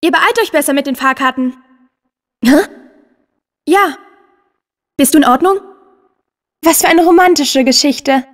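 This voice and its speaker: A woman playing a friendly, upbeat teenage girl in a dubbed video game, with an earnest, expressive delivery. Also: high-pitched, unhurried, pauses often. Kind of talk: German video game dubbing.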